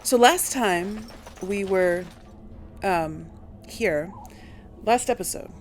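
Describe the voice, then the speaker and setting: A woman's voice: lowish voice, gravelly, slight background noise. A woman hosting a video game playthrough in casual commentary, thinking aloud as she plays. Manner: thinking aloud, musing to herself. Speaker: host of a game playthrough series.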